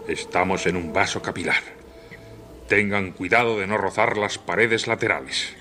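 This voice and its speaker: An actor playing a sober, learned male surgeon with a measured, didactic manner in a radio play. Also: low voice, steady tone, sharp tone, slight background noise. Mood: measured, explanatory. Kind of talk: radio drama acting.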